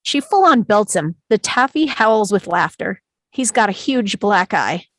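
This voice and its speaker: A woman's voice: medium pitch, sing-song, a little slow, no room sound. Dry-sounding woman narrating a script. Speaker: narrator reading stage directions. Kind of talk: scripted narration, live table read.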